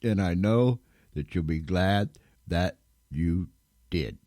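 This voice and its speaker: A man hosting a radio show in a casual, folksy, rambling way, giving shout-outs and plugs. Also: sits low, lively intonation, slow.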